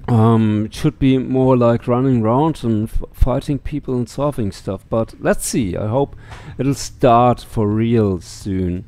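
A man's voice: medium pitch, a little slow, hardly any pauses, dark tone, dry recording. A middle-aged man doing casual let's play commentary and reading on-screen game text aloud. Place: small room at home, indoors. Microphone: headset microphone. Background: faint game music.

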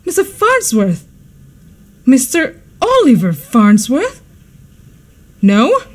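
Woman playing a high-pitched, melodramatic society hostess. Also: slight background noise, full character voice. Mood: irritated, incredulous. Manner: melodramatic, exclamatory, scolding. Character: imperious, frantic society hostess and mother, easily outraged. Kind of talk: radio drama acting.

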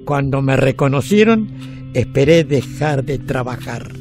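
An actor playing a weary retired man in his eighties with an Argentine accent, reflecting deliberately in an audio drama. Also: medium pitch, expressive. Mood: reflective, resigned. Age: 80s. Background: music.

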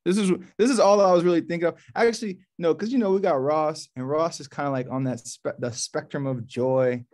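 A man in his thirties from New Orleans giving a casual, slangy, halting introduction on a video call from a small office. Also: fairly high pitch, fairly even intonation, a little mellow. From New Orleans, USA. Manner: laid-back, halting, slangy. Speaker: poetry reading curator. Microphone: video call, computer at arm's length.

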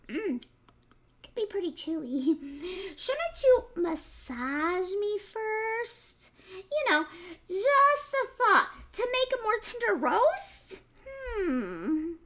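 A woman voicing a small, sly male piglet with a high, sing-song pleading way of talking. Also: pushed and bright, exaggerated voice.

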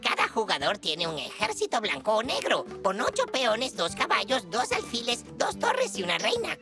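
Cartoon casting: brisk scholarly creature teacher, high, swooping delivery, instructive, music behind. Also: female voice. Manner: instructive, animated. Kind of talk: animated cartoon dubbing, explaining.

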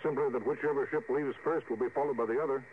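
A stern starship captain played by a man speaks with deliberate authority in a mid-pitched voice. It is classic sci-fi radio drama, heard through a very narrow old recording with light noise. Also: fairly even intonation, close to an everyday voice. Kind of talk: 1950s radio drama acting. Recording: phone-like vintage radio recording, faint hiss.

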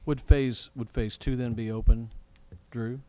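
A man's voice: medium pitch, fairly even intonation, unhurried, dark tone, smooth. A man presiding over a formal public meeting, putting questions to others and giving remarks. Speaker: presiding chair of a city council meeting.

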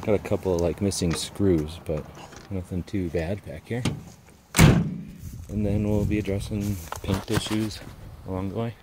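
A relaxed man with a very deep, low voice ambles around his van, pointing things out with plenty of uh fillers. The handheld camera picks him up outdoors, and clear background noise sits under his speech. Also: measured pace. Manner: relaxed, rambling, frequent uh fillers. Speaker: vlogger. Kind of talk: casual walkaround van tour. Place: outdoors in a mountaintop parking lot beside a van. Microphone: handheld action camera.

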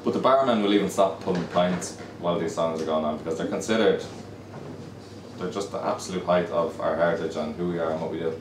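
Dublin fiddler in his 30s, low voice, chatty and rambling, echoey pub recorded from far off. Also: fast talker, background noise. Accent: Dublin Irish. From Ireland. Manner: chatty, rambling, repeats words. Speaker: fiddle player. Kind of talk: casual storytelling between tunes. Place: busy pub, indoors. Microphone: far camera.

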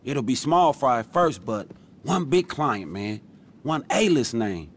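A confident street hustler who made it big, in a video game. He talks in easygoing, persuasive slang with a very mobile, medium-pitched voice. A faint rumble sits behind him. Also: very animated, a little slow. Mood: proud pitching. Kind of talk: video game cutscene dialogue, casual slang.

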